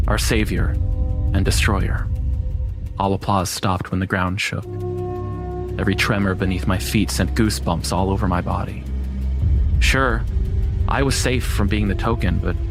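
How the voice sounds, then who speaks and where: A man narrating a scripted first-person horror story. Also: fairly low pitch.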